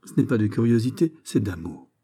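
A young nobleman in love and in anguish, as a male reader plays him. He speaks politely and earnestly, with melodramatic pleading. The timbre is soft and very dark, as in a storybook reading. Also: on the low side, expressive, a little fast, slightly rough. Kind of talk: dramatised audiobook of a historical novel.

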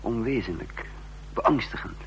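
An actor as a haunted man, brooding and halting. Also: mid-range voice, close to an everyday voice. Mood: brooding unease. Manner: brooding, halting, fragmented phrases. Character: haunted, confused man caught in espionage, narrating his troubles. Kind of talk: Dutch radio drama acting, introspective narration. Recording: noisy old radio play recording.

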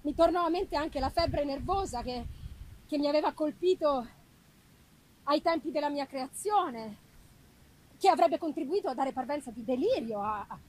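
A woman performing an anguished, haunted young male scientist in a dramatic, halting gothic literary reading, emphasizing and repeating words. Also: high-pitched, expressive, poor audio. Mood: grave, haunted.

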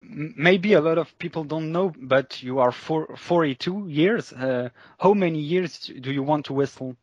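A man's voice: on the high side, normal speed, husky, no room sound, highs cut off. A man with a French accent putting questions to a guest as an interviewer.

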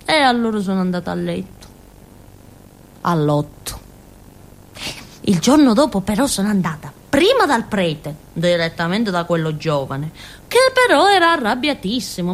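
A woman's voice: medium pitch, very animated, moderate pace. An actress playing a naive, indignant village fool with a southern Italian accent, telling her story in a rambling, emphatic, colloquial theatrical monologue. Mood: agitated. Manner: childlike, rambling, emphatic, seeking agreement with tags. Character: naive, indignant village fool, a chatty Calabrian young woman.

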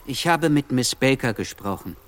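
A man's voice: medium pitch, lively intonation, moderate pace, a bit bright, lightly acted. A man playing a friendly, curious neighbour in a radio play, speaking in an understated, conversational way.

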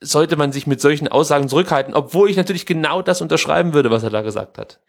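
Man, slightly high voice, casual podcast co-host, colloquial, opinionated. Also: quick pace, vocal fry. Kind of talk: casual chat about pro wrestling.

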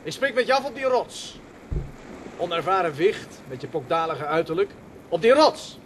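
High-pitched, florid lovelorn loser. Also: male voice, sing-song, normal speed, a bit bright, slight background noise. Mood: grandiose wooing. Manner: theatrical, declamatory, florid. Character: lovelorn, bombastic self-declared loser courting the moon. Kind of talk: radio play monologue.

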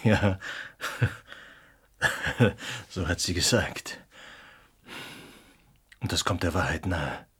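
Slow, husky, haunted man. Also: low voice, exaggerated voice. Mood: gentle, halting. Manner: halting, hesitant, breaking into soft laughs. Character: ailing, haunted male writer, fearful yet fervent. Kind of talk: radio play dialogue.

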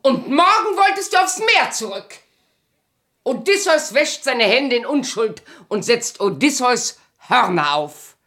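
A long-abandoned wife in a radio play, indignant and in command. Her voice sits fairly high, and she scolds bluntly with firm emphasis. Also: big pitch swings, measured pace, pushed and bright, exaggerated voice. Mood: angry, scolding. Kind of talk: German radio play acting.

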